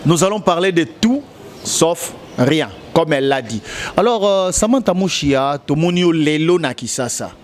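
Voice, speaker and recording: A man's voice: on the high side, animated, normal speed, slight background noise. A man with a Congolese French accent interviewing a guest, asking brief prompting questions in French and Lingala.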